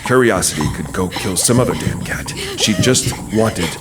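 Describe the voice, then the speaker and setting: A man's voice: fairly low pitch, expressive, normal speed, over music. A man delivering dramatic scripted narration for a horror story as its narrator. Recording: clear background noise, night sound effects.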